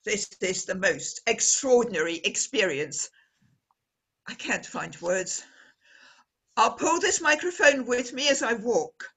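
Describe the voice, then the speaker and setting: Husky-voiced woman radio reporter, as an actress plays her. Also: a little high, lively intonation, a bit bright, full character voice, poor audio. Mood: horrified awe. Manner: formal, descriptive, dramatic pauses. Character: woman radio reporter, breathless and awestruck at a scene. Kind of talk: radio play acting as live news commentary.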